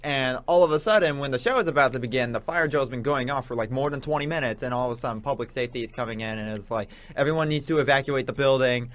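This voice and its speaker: A young man with a middle-pitched voice talks casually as a show guest. He rambles through his stories, often hesitating and circling back as he goes. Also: fast, few pauses, a bit bright. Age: young adult. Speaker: returning radio show guest. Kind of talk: casual chat.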